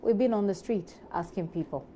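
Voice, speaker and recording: A woman's voice: mid-range voice, a little fast, mellow, a little hiss or hum. A woman with a Ghanaian accent presenting and interviewing in a conversational news style.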